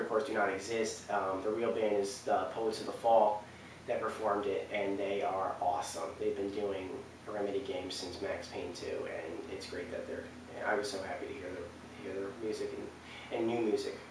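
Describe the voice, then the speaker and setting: A man giving enthusiastic, rambling, unscripted game commentary as host of a countdown, repeating himself for emphasis. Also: on the low side. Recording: very echoey distant mic, faint noise.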